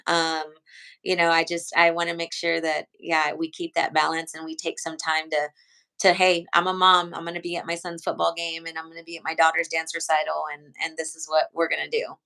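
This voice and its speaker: A woman from San Antonio, a coach, answers questions in a bright, smooth voice that stays fairly flat and low. She talks earnestly and loosely, with plenty of ums and restarts. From San Antonio, Texas. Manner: earnest, rambling with many fillers and restarts. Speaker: head coach, interview guest. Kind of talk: casual interview answers.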